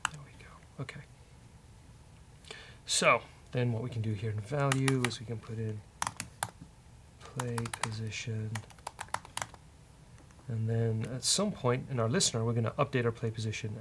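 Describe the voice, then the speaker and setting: A man talks through live coding in a casual, joking way, as a host thinking aloud. His voice has a gravelly creak and sits at a middling pitch, with typing, clicks and faint noise around it. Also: steady tone, moderate pace, long pauses.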